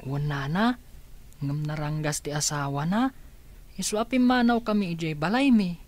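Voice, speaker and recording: A woman's voice: fairly low pitch, normal speed, darkish tone, natural voice. A woman acting in a radio drama as a hesitant, polite orphaned child. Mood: timid. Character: orphaned child of the De Cortez family, timid.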